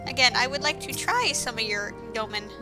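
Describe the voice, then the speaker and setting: A woman's voice: fairly high pitch, animated, fairly quick, music in the background. A woman giving casual, sarcastic and exclamatory commentary over a video game, speaking informally with some swearing. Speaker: gameplay commentator.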